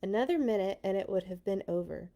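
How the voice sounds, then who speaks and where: A woman reading stories aloud to children in an expressive, playful way, with casual asides. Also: medium pitch, quick pace. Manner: playful, animated in telling. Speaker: children's storyteller at a church read-along.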